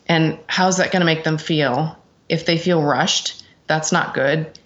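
Low-voiced middle-aged American woman, dull audio. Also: unhurried. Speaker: interview guest, executive assistant. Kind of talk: conversational interview answers. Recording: dull, lo-fi sound.

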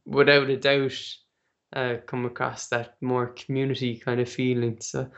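Relaxed Irish man hosting a podcast. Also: mid-range voice, lo-fi sound. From Ireland. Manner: relaxed, unhurried with hesitations. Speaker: podcast host. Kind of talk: casual interview chat.

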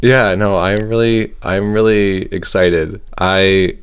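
A young man co-hosting a podcast, joking and pausing to search for his words. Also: deep voice, fairly even intonation, clean tone. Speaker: podcast co-host. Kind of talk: casual chat.

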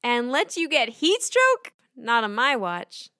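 A woman's voice: on the high side, big pitch swings, slow, heavily acted. A woman playing a teasing, mildly exasperated mother in a children's audio drama. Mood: wry, exasperated.

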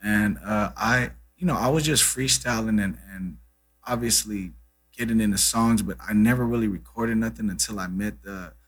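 A man's voice: on the low side, normal speed, fairly bright tone, slightly rough. A man in his thirties from Brazil, a native Portuguese speaker, chatting casually as a guest musician, laid-back and full of "you know" and "man". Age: 30s. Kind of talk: casual interview chat.

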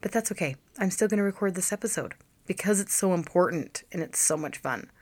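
A woman hosting a style podcast speaks in a low, deep register, her voice roughened and hoarse from allergies. She talks directly to her listeners in a chatty, encouraging way. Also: animated, a little fast. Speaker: podcast host and style coach. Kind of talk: solo podcast talk.

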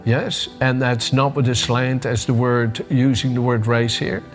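A man's voice: medium pitch, steady tone, measured pace, faint music behind. An older man with a Dutch accent tinged with American, giving a conversational devotional sermon, often restarting and searching for words. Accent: Dutch with a bit of American. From Netherlands. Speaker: preacher.

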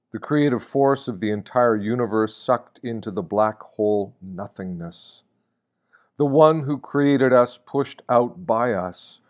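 A man reading a scripted devotional meditation like a sermon, solemn and deliberate, posing rhetorical questions. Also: medium pitch, a little mellow. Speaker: reader of a Lenten meditation.

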